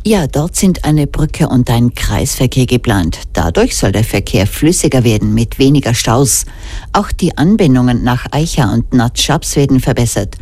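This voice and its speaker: Female news reporter, dark mellow tone, factual scripted radio report. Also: extremely low pitch.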